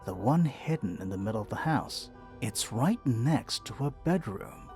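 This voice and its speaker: Actor as an animated, inquisitive male detective. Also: mid-range voice, measured pace, close to an everyday voice. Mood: probing. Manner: informal, probing, mildly baffled. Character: casual, inquisitive detective questioning a suspect. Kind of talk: video game voice acting, conversational. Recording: background music under the dialogue.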